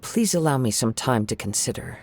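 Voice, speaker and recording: A man playing a calm, courteous young wandering swordsman in a video game, gentle and reflective in formal wording. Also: mid-range voice, a little fast, lightly acted. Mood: reflective, reserved.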